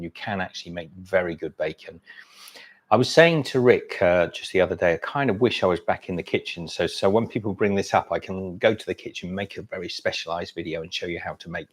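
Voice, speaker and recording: Low-voiced chatty man rambling on a livestream. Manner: rambling, frequent restarts, chatty food talk. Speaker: livestream host. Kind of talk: casual livestream chat. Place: home, eating during a livestream.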